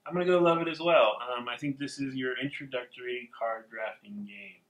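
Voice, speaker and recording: A man's voice: medium pitch, fairly quick, fairly bright tone, reverberant, low-quality recording. A man co-hosting a board game review, explaining the rules steadily with frequent ums. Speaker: podcast co-host.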